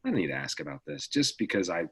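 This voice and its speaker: A man hosting a podcast, his voice raspy and hoarse from recovering after the flu, chatting casually and joking as he asks questions. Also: mid-range voice, very animated, fast talker, sharp tone. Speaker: podcast host. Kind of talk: casual interview chat.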